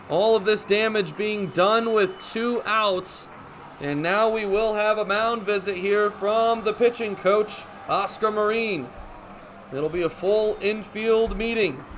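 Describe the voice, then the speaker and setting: A young man calling baseball play-by-play for radio in crisp, descriptive phrases, as live sports commentary. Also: sits high, takes it slowly, slight background noise. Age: young adult. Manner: crisp, descriptive phrases with excited calls on big plays. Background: ballpark ambience.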